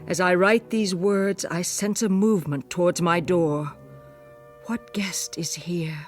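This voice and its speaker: Slow, weary old noblewoman played by a woman. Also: lowish voice, fairly even intonation, natural voice. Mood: weary, foreboding. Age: older. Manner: solemn, measured, dramatic. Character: weary, brooding old noblewoman writing her secret journal. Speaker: actor. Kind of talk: radio drama diary monologue. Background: music.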